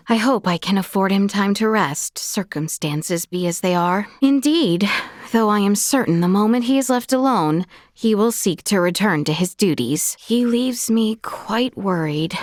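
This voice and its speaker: A woman playing a gracious, earnest young princess knight in a fantasy video game, speaking with formal, courtly, measured diction. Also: mid-range voice, lively intonation, no echo, studio quality, close to an everyday voice. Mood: concerned. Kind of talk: video game character dialogue.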